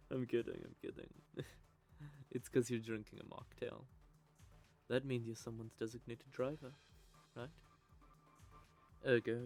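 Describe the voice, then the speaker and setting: A man voicing a sardonic gravelly vampire. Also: medium pitch, darkish tone, lightly acted. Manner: cursing, mocking, gravelly. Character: sardonic male vampire, bitter and selfish. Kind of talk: audio drama roleplay.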